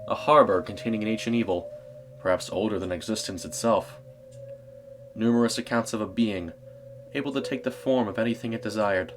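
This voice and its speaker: A man reading a scripted eerie story as narrator, in a measured, dramatic storytelling manner. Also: fairly low pitch.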